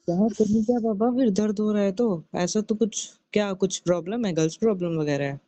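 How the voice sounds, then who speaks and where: A man's voice: high voice, brisk, dark tone, natural voice. A man playing a young husband in a casual couple skit, coaxing and chiding his wife in a repetitive way. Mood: soothing, impatient. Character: concerned young husband, soothing yet scolding his wife. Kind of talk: acted phone-recording style couple skit in casual speech. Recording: dull, lo-fi audio.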